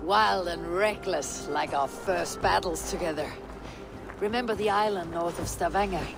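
A male actor playing a steady, wry young Viking warrior in cinematic game dialogue, with measured, deliberate phrasing. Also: high voice, vocal fry, over music. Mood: wry, playful.

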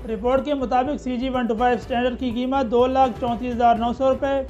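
A young male news anchor with a Pakistani accent delivers scripted bulletins formally. His voice sits extremely high for a man and stays fairly even in melody. The sound is dull and lo-fi, with music playing underneath. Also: fairly quick. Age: young adult. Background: news music bed.

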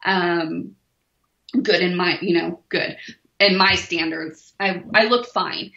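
A woman's voice: fairly low pitch, steady tone, slow pace, vocal fry, pushed and bright, reverberant, muffled recording. A forty-year-old woman, a weightlifter, talking openly and self-deprecatingly as a podcast guest, laughing easily. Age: 40s. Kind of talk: candid podcast interview.

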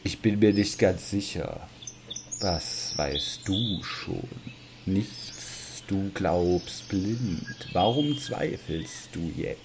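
Guy doing a creepy sly snake tempter, really slow deep voice, audio play, birds chirping loud behind. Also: expressive, gravelly, background noise, exaggerated voice. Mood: sly, ominous. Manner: slow, menacing. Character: sly, ominous male talking serpent tempter. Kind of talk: audio drama dialogue.

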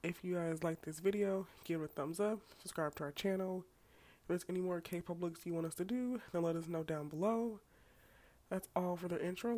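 Low-voiced congested young woman vlogger. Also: darkish tone. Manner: chatty, self-mocking asides. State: congested from a cold. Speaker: beauty vlogger. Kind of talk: casual makeup tutorial voice-over. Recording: faint background music.

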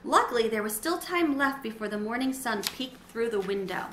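A middle-aged woman giving an expressive, dramatized read-aloud of a children's story. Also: a little high, moderate pace. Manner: animated, dramatizing. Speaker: storybook reader. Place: small sunroom with windows, indoors. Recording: roomy echo, faint background noise.

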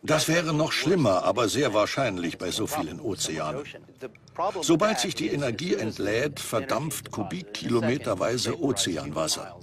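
An earnest male scientist, played by an actor, explains in a measured documentary manner. His pitch is mid-range, and other voices murmur behind him. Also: very animated, crowd noise, lightly acted. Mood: earnest, explanatory. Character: earnest male scientist warning of cosmic threats. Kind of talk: dubbed documentary expert explanation.

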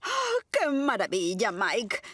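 A woman's voice: huge pitch swings, takes it slowly, fairly bright tone, slight background noise, exaggerated voice. An actress playing an easily frightened, dramatic mother and shopkeeper in a children's cartoon dub. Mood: chatty, exclaiming. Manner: dramatic, shrill exclamations.

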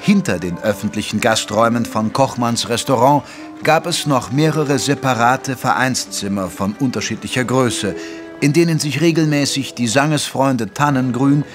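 A man narrating a period mystery audio drama in a measured, literary manner. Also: medium pitch, natural voice. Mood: measured narration. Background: music under the voice.

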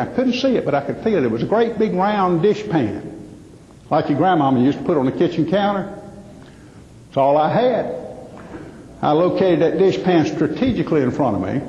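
A Southern American man performs folksy comic storytelling on stage in a high-pitched voice. He pauses and stretches out his punchlines. The sound is muffled and rings with the long echo of a big hall. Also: a little mellow. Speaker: professional humorous speaker. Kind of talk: stand-up storytelling to a live audience. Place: large indoor hall with a live audience. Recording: muffled, echoey hall PA with some noise.

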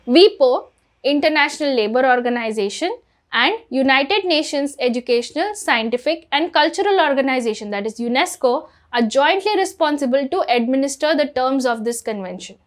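A young woman reading out a scripted law lesson in a steady way, facing the camera up close in a small room. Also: high voice, moderate pace. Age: young adult. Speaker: law lecturer. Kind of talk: scripted educational explainer.

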